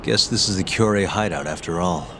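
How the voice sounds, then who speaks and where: An actor playing a brash, laconic private detective in a video game, with a dryly teasing manner. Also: male voice, low voice, fairly quick, background noise. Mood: confident, teasing. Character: dry, brash, reckless male private detective. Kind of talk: English game dub acting.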